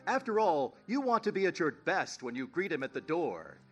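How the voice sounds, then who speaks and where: An actor playing an upbeat 1930s radio announcer selling cosmetics in an old-time commercial style. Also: male voice, on the high side, sing-song, normal speed, husky, faint music behind. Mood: cheery, selling. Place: theater stage.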